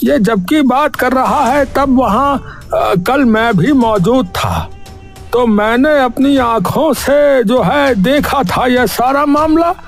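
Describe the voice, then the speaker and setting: A man's voice: very high voice, slow pace, heavily acted. A man playing an evasive, blustering village headman in a Hindi cartoon story, hemming and stalling as he talks. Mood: defensive, insistent. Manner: hemming and hesitant, with stalling filler sounds. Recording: music under the voice.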